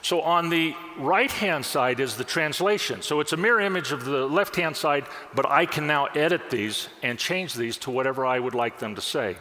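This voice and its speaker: Upbeat man demoing software in a hall. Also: a little high, animated, moderate pace, hardly any pauses, a little hiss or hum. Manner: upbeat, explanatory, steps through screens. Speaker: product demonstrator. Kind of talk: conference software demo. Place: conference session room. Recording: long hall reverb.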